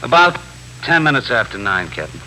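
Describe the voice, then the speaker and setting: A man's voice: mid-range voice, big pitch swings, a little fast, bright, forceful tone. An actor playing a dutiful, plain-spoken patrolman in a 1950s radio drama, giving respectful, factual answers to a superior. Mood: matter-of-fact. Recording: noisy 1950s radio broadcast recording with hum.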